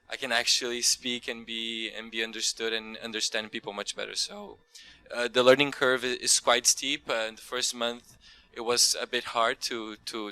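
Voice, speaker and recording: Young male student, Brazilian accent, Portuguese first language. Flat melody, sharp crisp tone, informal Q&A answers. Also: medium pitch. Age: young adult. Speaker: student panelist. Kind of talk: casual spoken testimonial at a Q&A.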